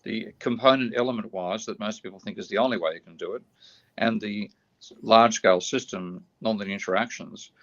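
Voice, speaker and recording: A tired man whose voice is getting croaky, explaining ideas in a live online discussion, thinking aloud with halting restarts. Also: lowish voice, fairly bright tone, lo-fi sound. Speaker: guest economist. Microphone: video call.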